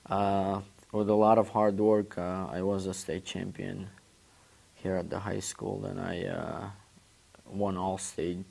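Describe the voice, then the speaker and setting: A young man from Uzbekistan whose first language is Turkish, answering interview questions in a halting way and often repeating words as he searches for phrasing. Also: low-pitched, level tone, creaky, a little mellow. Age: 20s. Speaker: guest, former student athlete.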